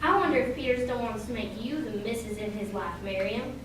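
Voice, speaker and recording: A woman's voice: on the high side, fairly quick, natural voice. A woman playing a brash, gushing young party guest in a radio play, recorded in an echoey room. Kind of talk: amateur radio play acting. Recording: very echoey room, distant mic, noisy.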